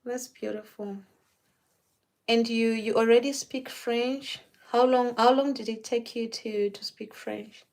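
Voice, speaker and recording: A woman's voice: a little high, steady tone, unhurried, a little mellow. A woman from Zimbabwe, chatting casually with a client while styling her hair, often repeating words as she restarts her sentences. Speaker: hairstylist.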